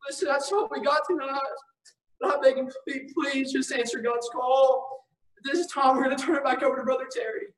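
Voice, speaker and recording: A man preaching an emotional revival sermon, shouting and pleading with tears in his voice. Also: very high voice, normal speed. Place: church hall. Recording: echoey church room from far off.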